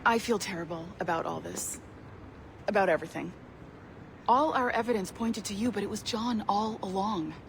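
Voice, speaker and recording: An actress as a concerned, apologetic colleague. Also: female voice, mid-range voice, moderate pace, creaky, a little hiss or hum, close to an everyday voice. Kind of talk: naturalistic video game cutscene acting.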